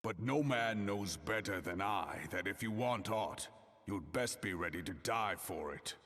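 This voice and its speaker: An actor playing a grave, battle-hardened warrior leader in a fantasy game, with solemn, weighty delivery. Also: male voice, low voice, lively intonation, measured pace, fairly bright tone, full character voice. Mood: grim resolve. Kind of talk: dramatic fantasy game cutscene acting. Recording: long echoing hall reverb.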